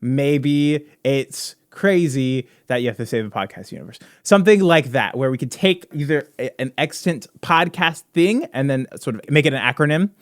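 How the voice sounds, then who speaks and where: Excitable man, dry close sound. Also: fairly high pitch, moderate pace, hardly any pauses, no echo. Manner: excitable, profane, frequent "dude" and "right?". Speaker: podcast host. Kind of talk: casual brainstorming chat with co-hosts.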